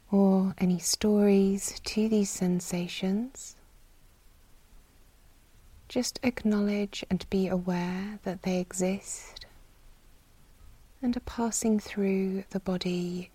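A woman leads a guided meditation at a very unhurried pace. Her voice is mellow and soft, with a breathy, soothing delivery and long pauses. The sound is close and dry. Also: medium pitch, no room sound. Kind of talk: guided body scan meditation.

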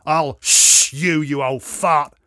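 A man voicing an aggressive middle-aged lout with a rasping, snarling, belligerent delivery in a narrated story. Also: a little high, steady tone, takes it slowly, pushed and bright, no room sound, clean studio sound. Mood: menacing. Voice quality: rasping, growling.

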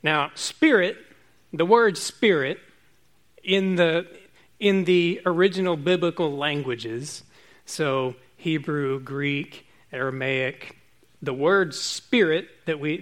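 Chatty preacher, fairly high voice. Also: male voice. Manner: relaxed, chatty, self-joking with restarts. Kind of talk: informal sermon to a congregation.

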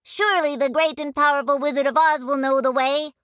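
Woman doing tiny kind little guys, high voice, gentle and sincere. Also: steady tone, a little fast, no echo, studio quality. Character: small kind-hearted townsfolk, little men.

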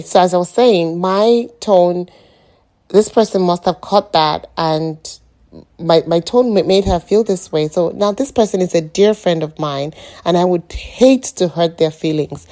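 A middle-aged woman with a croaky morning voice, speaking in a rambling, reflective way on an informal solo podcast. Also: on the low side, mellow. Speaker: podcast host.

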